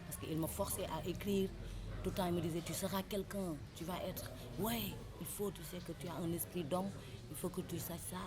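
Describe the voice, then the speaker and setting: Low-voiced Senegalese woman, impassioned. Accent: Senegalese French. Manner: impassioned, exhorting, repeats her points. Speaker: interviewee, rapper. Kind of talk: earnest interview talk. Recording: noisy background ambience.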